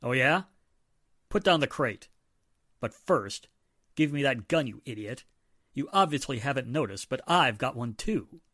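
A man's voice: mid-range voice, lively intonation, measured pace, long pauses, fairly bright tone, no room sound, studio quality. A man playing a wry, self-assured police inspector in a 1940s style radio drama, breezy and sardonic. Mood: cool, threatening.

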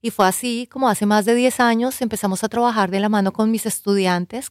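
A woman's voice: mid-range voice, darkish tone, dry recording, studio quality. A Colombian woman, a university professor and researcher, answering interview questions in an earnest, explanatory way with occasional hesitations.

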